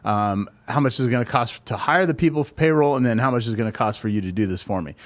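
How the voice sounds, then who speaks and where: A man's voice: mid-range voice, fast talker. A middle-aged man, a guest on a podcast, chatting casually and telling stories, often checking in with "right?". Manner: storytelling, frequent "right?" asides. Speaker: podcast guest, tech recruiter and dating coach. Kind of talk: casual interview chat.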